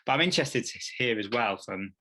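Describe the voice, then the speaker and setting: A man's voice: medium pitch, expressive, a little fast, sharp tone. A man hosting a casual podcast discussion, making his points emphatically and restarting to stress them. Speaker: podcast host.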